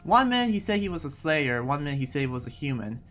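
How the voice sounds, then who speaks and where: A man's voice: on the high side, big pitch swings, fairly bright tone, faint music behind. Man playing a hesitant, rambling town guardian. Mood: defensive, hesitant. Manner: halting, hedging, sighing explanations. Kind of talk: improvised anime Minecraft roleplay.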